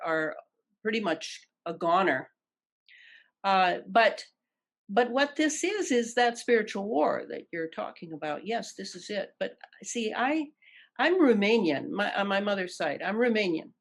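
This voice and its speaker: An older woman with an American accent answering in an interview, deliberate and emphatic, repeating phrases for weight. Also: mid-range voice. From Detroit, United States. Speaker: interview guest.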